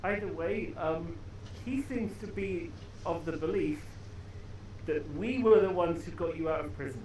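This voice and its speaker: A man playing his character in a tabletop game, quick and playful. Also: a little high, darkish tone, slight background noise. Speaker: player. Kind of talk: casual in-character roleplay. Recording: echoey, from a distance.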